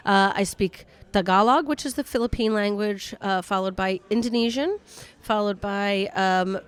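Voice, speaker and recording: A woman guest comedian telling stories in casual, animated chat, talkative and exuberant. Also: mid-range voice, normal speed, clean tone. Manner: exuberant, self-confessed "a lot", talkative. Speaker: guest comedian and cruise ship entertainer.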